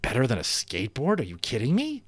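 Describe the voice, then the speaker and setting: A man's voice: medium pitch, wildly swooping pitch, fast, no room sound. A man reading a children's book aloud, voicing a teasing, disbelieving young schoolboy who keeps pushing with skeptical questions. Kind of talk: storybook character voice.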